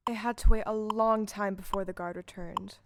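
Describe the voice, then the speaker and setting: A woman's voice: medium pitch, fairly even intonation, normal speed, background noise. A woman narrator reading scripted storybook narration for an audio play.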